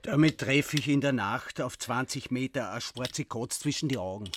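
A man's voice: medium pitch, moderate pace, noisy background, natural voice. A man with an Austrian accent playing a proud, self-important, eccentric survivalist in a radio play. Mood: proud, matter-of-fact. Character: proud, eccentric male doomsday prepper, self-reliant and suspicious.

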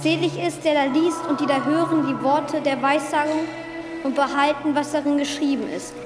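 A solemn prophetic reader, played by a woman, in a high voice. The scripture rings out in long reverb over loud music, in radio drama style. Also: fairly even intonation, normal speed, lightly acted.

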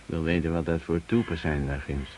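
An actor in a Dutch radio play playing a gruff, commanding cavalry officer who barks orders and questions. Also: male voice, extremely low pitch, little pitch movement, brisk, darkish tone, a little hiss or hum. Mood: stern, commanding. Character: brisk, commanding male cavalry officer, gruff but amused. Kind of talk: Dutch radio drama acting.

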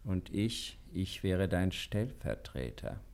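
A man's voice: low voice, very animated, takes it slowly, a little mellow, exaggerated voice. A man playing an eager, ambitious gangster henchman, insinuating and scheming, in an old German radio crime satire. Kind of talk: 1950s German radio play acting.

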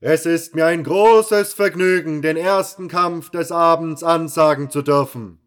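A man playing a burly, grandiose arena announcer in an audio drama, proclaiming in a bombastic, drawn-out manner. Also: fairly high pitch, fairly bright tone, clean tone. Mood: grandiose, rousing. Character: burly male arena announcer, grandiose showman working the crowd. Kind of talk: fan audio drama acting.

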